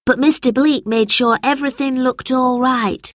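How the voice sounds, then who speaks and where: A woman's voice: sits high, steady tone, normal speed, a bit bright, dry recording, clean studio sound, pitched above the performer's own voice. A woman voicing an anxious, earnest boy who works in a factory, in a storybook reading. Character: child factory worker boy, worried for his friend.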